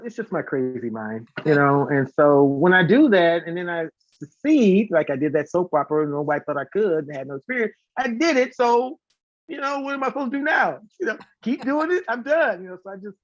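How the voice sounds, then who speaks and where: A man who sings and teaches voice, talking as a guest in a casual live interview, telling stories in an animated way and acting out what people say. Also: sits high, no echo, highs cut off. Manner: talkative, animated storytelling with acted-out quotes and demonstrations.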